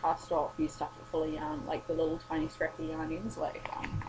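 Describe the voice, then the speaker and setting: A woman's voice: sits low, completely flat delivery, fairly quick, noisy background. Tired, rambling, sweary young woman. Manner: rambling, sweary, self-deprecating. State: tired, losing her voice. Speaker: vlogger. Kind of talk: casual late-night chat.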